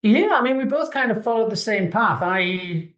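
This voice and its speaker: A middle-aged man from the UK, a guest in a casual interview, relaxed and hedging. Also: high voice, moderate pace. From United Kingdom. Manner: relaxed, hedging with 'kind of'. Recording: echoey and muffled.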